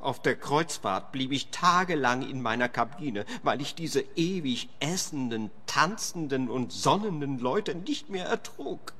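An actor playing a petty, self-important landlord who grumbles emphatically in a radio play. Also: male voice, medium pitch, animated, measured pace, few pauses, pushed and bright. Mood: grumbling. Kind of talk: German-language radio play acting.

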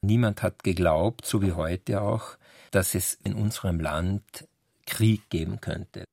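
Low-voiced scholar, close and dry. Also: male voice, slow, creaky, a little mellow, husky, dry recording. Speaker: literary scholar. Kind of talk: explanatory interview talk.

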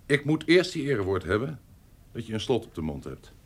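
An actor playing a slippery, corrupt privy councillor at an eighteenth-century court in a radio drama, insinuating and evasive. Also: male voice, mid-range voice, sing-song, brisk. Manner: slippery, insinuating, drops to murmurs.

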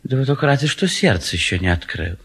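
An indecisive bachelor in a theatrical comedy. His husky, medium-pitched voice moves up and down in lively swings, hesitant and stubbornly evasive. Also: quick pace, exaggerated voice. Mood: flustered evasion. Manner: hesitant, polite, dithering. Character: timid, indecisive middle-aged bachelor suitor, stubbornly evasive. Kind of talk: theatrical classic stage comedy acting.

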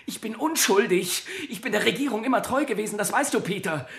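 A man's voice: sits high, animated, brisk, gravelly, fairly bright tone, full character voice. An actor playing a frightened male prisoner in a radio drama, imploring with a tearful, breaking voice. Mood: desperate, pleading. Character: desperate, pleading male prisoner, frightened family man. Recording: echoey interrogation room sound.

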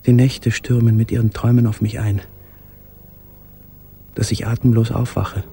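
An actor in a radio drama monologue playing a despairing, yearning man, speaking in measured, literary and intense phrases. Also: medium pitch, little pitch movement, creaky, dark tone, breathy edge, soft background music. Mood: anguished. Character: despairing man in hiding, yearning for a lost companion.

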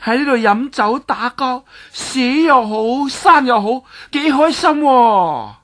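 A woman voicing a mischievous, willful young girl who wheedles and pleads in a drawn-out way. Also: on the high side, lively intonation, fairly bright tone, heavily acted. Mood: wheedling. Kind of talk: Cantonese storytelling dialogue.

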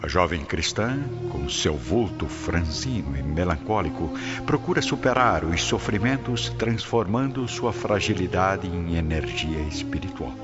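Male narrator, Brazilian accent, deep bass register, solemn scripted storytelling, slightly muffled recording. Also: lively intonation. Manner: solemn, literary, measured phrasing. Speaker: narrator of a radio drama. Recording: dull lo-fi audio under loud music.

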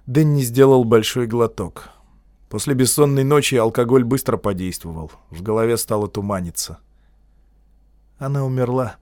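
Guy narrating an audiobook, steady measured read, mid pitch, dry close mic. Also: no room sound. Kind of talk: scripted narration.

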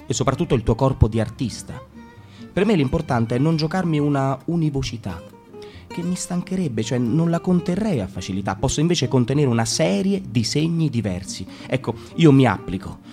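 A man delivers a reflective monologue in a radio play, with emphatic turns of thought. His voice is mellow and warm at a middle pitch. Faint music sits behind him. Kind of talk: theatrical reading of an artist's monologue.

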